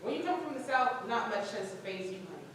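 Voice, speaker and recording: Quick, cool young woman played by actress. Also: on the high side, expressive, natural voice. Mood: cool, dry. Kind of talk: staged reading of a stage play. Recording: very echoey room, distant mic, faint noise.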